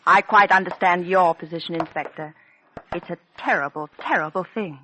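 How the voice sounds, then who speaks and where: An actress playing a poised, genteel, scheming young stage actress in a 1940s radio drama, with theatrical composure. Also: female voice, fairly low pitch, normal speed, pushed and bright, slight background noise. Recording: vintage 1940s radio recording.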